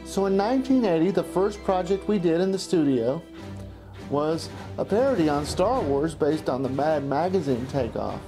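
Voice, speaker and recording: A man's voice: on the high side, animated, moderate pace, over music. A man in his forties reading a scripted, first-person documentary narration. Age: 40s. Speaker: narrator looking back on his amateur studio.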